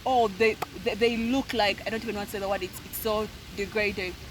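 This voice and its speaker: A woman co-hosting a podcast, telling stories with lively emphasis and often repeating words as she makes her point. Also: medium pitch, brisk, noisy background. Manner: animated storyteller, acts out quoted voices. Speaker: podcast co-host. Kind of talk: casual podcast discussion.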